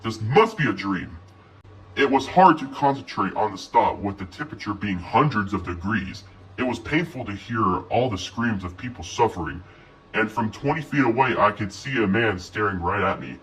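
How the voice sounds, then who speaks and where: A man telling a scripted horror story in an expressive, first-person narration. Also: lowish voice, moderate pace. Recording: voice distant from mic.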